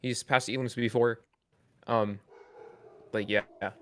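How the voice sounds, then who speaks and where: A man's voice: medium pitch, fairly even intonation, a little slow. A man co-hosting a podcast in casual, informal chat full of slang. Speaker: podcast co-host.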